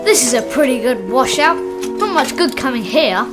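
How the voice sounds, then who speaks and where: A sulky, sneaky schoolboy in a radio play. The voice is high and swings around a lot, with loud music playing along. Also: expressive, moderate pace. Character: Edmund, sulky, sneaky younger schoolboy brother. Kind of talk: radio drama acting.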